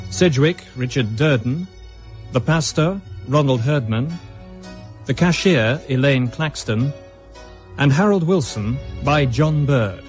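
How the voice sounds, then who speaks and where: Male radio announcer, medium pitch. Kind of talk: formal radio credits and scripted narration.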